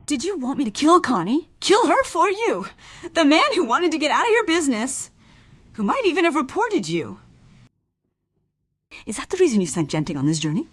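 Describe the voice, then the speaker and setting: An actress playing a wronged, accusing wife in a crime radio play, speaking in a halting, bitter, insistent way. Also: female voice, sits high, big pitch swings, no room sound. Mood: accusing, anguished. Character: wronged, accusing wife confronting a treacherous family friend.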